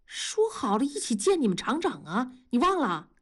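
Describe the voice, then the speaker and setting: A woman's voice: fairly high pitch, sing-song, normal speed, breathy edge, pitched above the performer's own voice. A woman voicing a worker's brash, nagging wife in an audiobook, scolding and demanding in a confrontational way.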